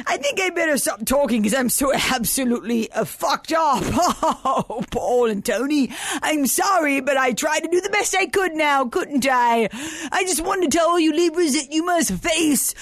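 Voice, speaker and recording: A woman's voice: high-pitched, animated, moderate pace, hardly any pauses, no echo. A woman playing a drunk, rambling astrologer who is crude and self-mocking, talking in slurred, giggly bursts for a comedy radio skit. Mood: tipsy rambling. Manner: slurring, giggly, swooping loud outbursts. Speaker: comic horoscope contributor.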